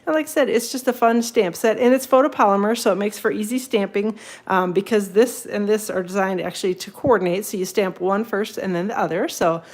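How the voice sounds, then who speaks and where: A woman's voice: mid-range voice, fairly quick. A woman hosting a casual papercraft livestream, a bit sniffly from a cold, rambling and greeting viewers by name.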